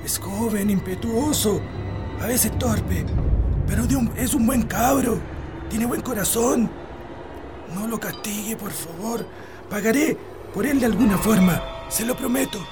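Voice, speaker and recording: Meek, pleading old Chilean labourer. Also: male voice, high-pitched, a little slow, pauses often, over music. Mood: pleading, apologetic. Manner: meek, apologetic. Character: humble, pleading old father, loyal farm labourer. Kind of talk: radio drama acting.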